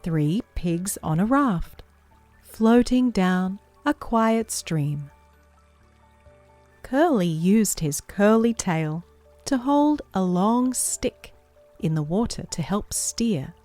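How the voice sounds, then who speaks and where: A woman narrates a children's read-aloud with music playing behind her. Her pitch moves up and down in an animated way, while her pace stays unhurried and expressive. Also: dry recording. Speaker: children's story reader and book club host.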